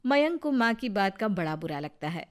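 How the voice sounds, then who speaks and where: Calm woman narrating a cartoon tale. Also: mid-range voice, moderate pace. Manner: calm, even storytelling.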